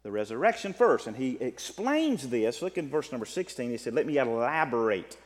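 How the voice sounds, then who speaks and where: A man preaching a sermon, teaching from the Bible in an emphatic way that swings between loud and soft. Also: mid-range voice, sing-song, moderate pace. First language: English. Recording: long reverb of a hall.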